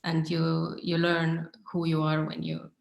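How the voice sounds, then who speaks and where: A woman's voice: low voice, monotone, a little slow, creaky. A woman with an Estonian accent, talking informally and thoughtfully about herself as a guest artist, with some hesitation as she finds her words. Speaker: artist being interviewed. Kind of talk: informal online studio talk. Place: home studio, indoors. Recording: echoey, roomy laptop sound.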